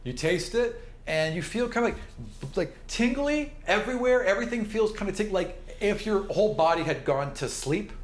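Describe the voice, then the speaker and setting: A man's voice: sits high, expressive, normal speed. A man working as game master, improvising descriptions for a tabletop game in a casual, conversational way. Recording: roomy echo, clear background noise.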